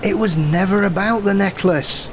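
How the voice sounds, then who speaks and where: A man's voice: sits high, moderate pace, soft background music, natural voice. A man acting an anxious, pleading, grief-worn brother in an audio drama. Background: rain.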